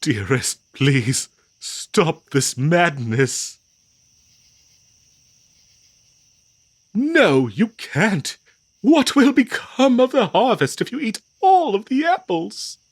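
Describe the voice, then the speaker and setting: Male actor as fretful, devoted 19th-century husband for comic audio drama. Florid and theatrical, unhurried delivery, animated intonation, halting when flustered. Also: sits high, no echo, full character voice. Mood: pleading, panicked. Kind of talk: comedic period audio roleplay acting.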